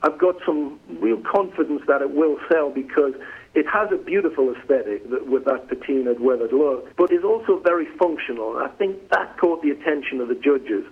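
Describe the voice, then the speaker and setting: A middle-aged man from the northeast of England, with an English accent softened by years in the US. He is a company president giving an interview and often repeats a word as he starts a sentence. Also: on the high side, lively intonation, normal speed, husky. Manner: conversational, repeats words when starting sentences. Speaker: flooring company president, guest. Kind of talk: phone interview. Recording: tinny phone line.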